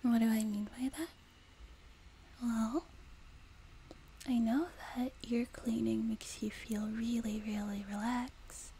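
Sweet young female demon companion played by a woman, ASMR roleplay: breathy whisper, lightly high, very unhurried, gentle and reassuring. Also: fairly even intonation. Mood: tender, gently teasing. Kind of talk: whispered ASMR roleplay, intimate personal attention. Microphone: close binaural microphone. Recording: noticeable background noise, close binaural mic.

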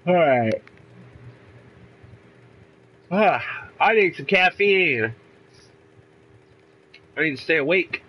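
Congested young man, lively gaming chatter. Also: on the high side, drawn-out words, long pauses, lo-fi sound. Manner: chatty, rambling, swears casually. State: congested from a cold. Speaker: gaming YouTuber. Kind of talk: casual let's play commentary.

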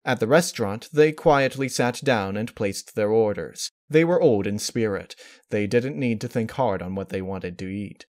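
A man's voice: fairly low pitch, normal speed, few pauses, darkish tone. A man reading a fan-fiction story aloud as scripted narration.

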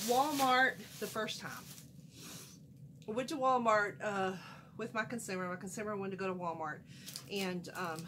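A middle-aged woman, chatting through a home haul vlog, folksy and animated, a little nasal from a cold, filmed in a small room. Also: mid-range voice, background noise. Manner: folksy, animated, chatty, wanders off mid-sentence. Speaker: haul vlogger.

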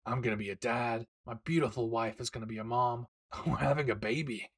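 A man playing a brash but devoted young husband and excited father-to-be in an intimate, teasing, tender audio roleplay. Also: medium pitch, a little fast, lightly acted. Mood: excited, loving.

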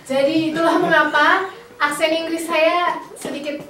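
A woman interpreting live for a guest speaker on a church stage, quick and lively. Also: very high voice, recorded from a distance. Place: church hall stage, indoors. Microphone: mic on a lectern. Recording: echoey church hall, heard from afar.

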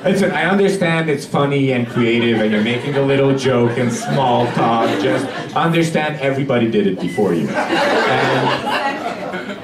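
A young man from Hungary doing a conversational, halting stand-up routine with acted-out dialogue, recorded from afar in a small club. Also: medium pitch, animated, a crowd in the background. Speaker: stand-up comedian. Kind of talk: live stand-up comedy routine. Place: small dark comedy club stage, audience present. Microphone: handheld stage mic heard from a far camera. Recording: distant camera recording, echoey club, loud audience noise.

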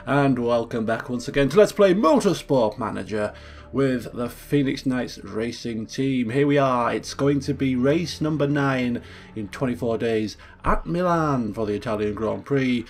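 Male let's play host, mid-pitched and gravelly, croaky and chatty, thinking aloud. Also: a little slow. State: croaky from just waking up. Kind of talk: casual gameplay commentary.

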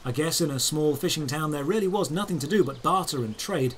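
A man with a voice a little higher than average narrates a dramatic adventure story in the first person. He delivers it as scripted audio drama, in short, measured phrases with deliberate pauses. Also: fairly bright tone, slight background noise.

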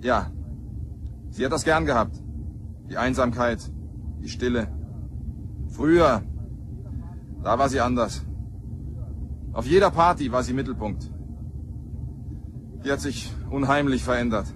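A man's voice: medium pitch, unhurried, pauses often, lightly acted. A man playing a chatty, disdainful husband in a crime radio play, talking colloquially and hesitantly. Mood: wistful, casual. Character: chatty widowed husband, disdainful of his brother. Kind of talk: naturalistic radio drama dialogue. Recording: clear vehicle noise behind.

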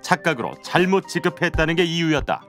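Guy narrating a news documentary, kinda high voice, music under it. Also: expressive, fast talker, a bit bright. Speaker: investigative programme narrator and presenter.

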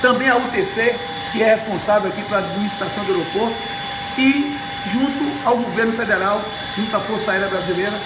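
A man, giving an emphatic, deliberate update to camera like a public speaker. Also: sits high. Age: 50s. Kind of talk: informal speech to camera. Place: bare indoor room by windows. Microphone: camera or phone a short distance away. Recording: echoey room with loud machinery noise.

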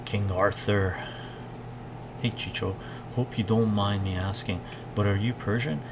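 A middle-aged man with a lowish voice hosts a casual cooking livestream. He muses in a halting way as he reads out comments and answers them. Loud kitchen noise, with chopping and clatter, runs behind his talk. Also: fairly even intonation. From Canada, born in Iran. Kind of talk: casual livestream chat while cooking, reading viewer comments. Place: home kitchen, indoors.

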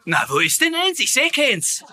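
An actor playing a vain, fawning German camp commandant, fussy and stammering, with a husky strained voice in sitcom style. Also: male voice, very high-pitched, huge pitch swings, normal speed, pushed and bright, full character voice. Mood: suspicious, annoyed. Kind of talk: dubbed TV sitcom acting.

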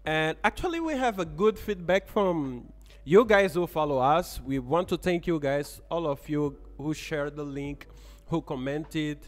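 A man's voice: a little high, unhurried, long reverb tail, faint music behind. A young man hosting a casual podcast in a café, laughing often as he talks into a studio mic. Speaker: podcast host. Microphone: studio mic on boom arm.

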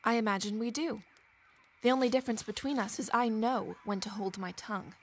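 A woman acts as a young Regency lady, proud yet gentle. Her voice sits fairly high, and she speaks with poise and earnest feeling in the manner of a period audio drama. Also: brisk, slight background noise, lightly acted. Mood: wounded, firm.

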